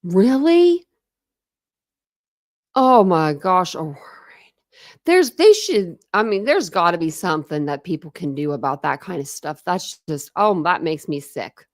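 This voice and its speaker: A woman's voice: fairly low pitch, lively intonation, no echo. Chatty American woman with a dry sound. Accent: mixed Midwest and Southern American. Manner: chatty, rambling, quick asides with laughs. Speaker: true crime livestream host. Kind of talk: casual livestream chat reading comments.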